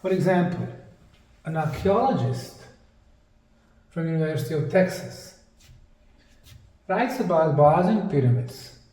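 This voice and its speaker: A man presents a lecture in a mellow, slightly high voice. He goes very slowly, setting out short, emphatic phrases with deliberate pauses. The mic sounds a little distant. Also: expressive. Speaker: presenter, anthropologist. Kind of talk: slide lecture presentation on sacred geometry. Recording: distant mic in an echoey room.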